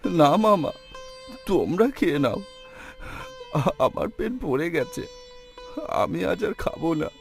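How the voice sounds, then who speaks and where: A man's voice: fairly high pitch, animated, takes it slowly, gravelly, long pauses, faint music behind, heavily acted. A man voicing a naive, timid orphaned young lad in a cartoon, with a plaintive, hesitant manner. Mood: hurt. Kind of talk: cartoon voice acting.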